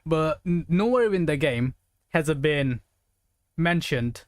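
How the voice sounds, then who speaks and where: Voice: medium pitch, lively intonation, slow pace, dry recording, studio quality. A game streamer chatting casually with viewers, rambling and thinking aloud in a conversational way. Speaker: live streamer playing a video game. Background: game music.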